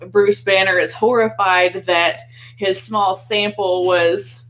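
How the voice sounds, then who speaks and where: A woman's voice: mid-range voice, flat delivery, slow, a bit bright, poor audio. A woman co-hosting a casual film discussion, talking in an enthusiastic, chatty, informal way. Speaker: podcast co-host.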